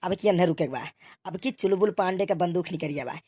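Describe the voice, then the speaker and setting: A woman's voice: lowish voice, brisk, warm tone, husky, no room sound. A woman voicing bickering village policemen in a comic cartoon, with an eastern Uttar Pradesh accent and exclamatory, colloquial banter. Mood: bantering, grumbling. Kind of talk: comic cartoon dialogue in rustic dialect.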